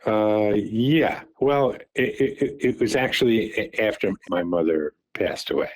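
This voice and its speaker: Older man on a call, low voice, halting recollections. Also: rough recording. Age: 70s. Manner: halting, repeats words and restarts while recalling. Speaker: father recounting family business. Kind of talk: phone call conversation. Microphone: phone call.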